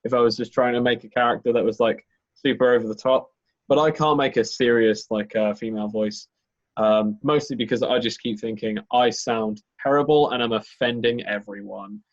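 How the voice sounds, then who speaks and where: A man chats casually about running tabletop games, his voice at an average pitch. He rambles with many fillers and restarts. The sound is dull and lo-fi. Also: fairly even intonation, normal speed. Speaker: game master. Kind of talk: casual podcast chat.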